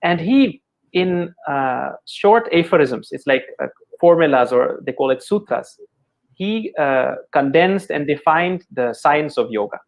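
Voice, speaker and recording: A middle-aged Dutch-born man who speaks English with an Indian accent, explaining yoga as an interview guest in a measured, gentle way with many fillers and repeated words. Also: on the high side, animated, vocal fry. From Netherlands. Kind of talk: thoughtful conversational explanation.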